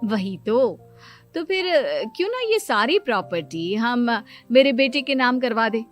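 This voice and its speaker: Scheming sister-in-law in a family moral tale, played by a woman: fairly high voice, persuasive, pushy about her son. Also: sing-song, measured pace, soft background music. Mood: coaxing, insistent. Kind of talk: dramatised Hindi moral story acting.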